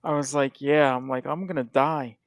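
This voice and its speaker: A man's voice: mid-range voice, steady tone, moderate pace, no echo. A man hosting a podcast, chatting casually in a rambling, profane way with frequent restarts. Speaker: podcast host. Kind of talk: casual chat.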